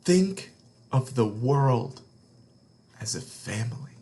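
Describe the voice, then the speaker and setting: A man reading a children's story aloud as a read-along host, earnest and deliberate, with dramatic emphasis and hushed phrase endings. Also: medium pitch, sing-song, slight background noise.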